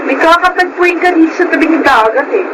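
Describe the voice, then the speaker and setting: A hot-tempered, possessive young girlfriend in a Filipino radio drama. Her high voice is biting and confrontational. The recording is clearly noisy. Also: animated, fairly quick. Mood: furious, sarcastic. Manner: sarcastic, confrontational.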